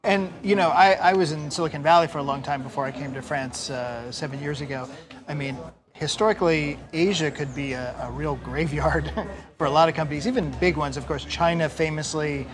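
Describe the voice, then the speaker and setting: A man's voice: fairly high pitch, fairly even intonation, a little fast. A man interviewing a guest onstage, asking long, winding questions in a conversational way. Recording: crowd murmur in the background.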